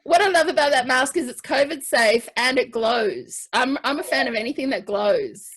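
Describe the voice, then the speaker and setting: A woman hosting a casual group video chat, bantering playfully with a husky voice. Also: sits high, expressive, normal speed, fairly bright tone. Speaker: host of an online variety show. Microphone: video call. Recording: video call audio.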